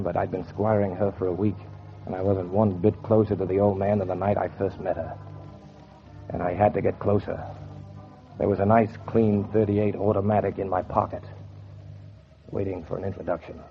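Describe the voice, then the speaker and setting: A man's voice: sits low, flat delivery, a little fast, vocal fry, faint music behind. An actor playing a bitter, cold-blooded man bent on revenge, narrating in brooding 1940s radio noir style with sardonic deliberation. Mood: grim, determined. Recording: dull, noisy 1940s radio recording.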